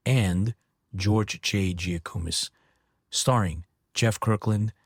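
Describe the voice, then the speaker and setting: A man's voice: fairly low pitch, takes it slowly, breathy edge, dry recording, clean studio sound. A man working as an announcer, reading scripted closing credits for an audio production.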